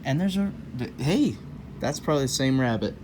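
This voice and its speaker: A man's voice: mid-range voice, expressive, brisk, noisy background. A man reading a children's story aloud in a folksy, rambling way, breaking off for casual, jokey asides. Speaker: host of a children's read-aloud show.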